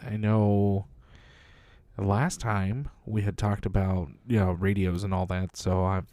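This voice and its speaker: A man's voice: fairly low pitch, little pitch movement, takes it slowly, mellow, no echo. A man co-hosting a podcast in casual chat, joking about himself and then answering in a thoughtful way. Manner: self-deprecating, joking, thoughtful. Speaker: podcast co-host, Air Force veteran.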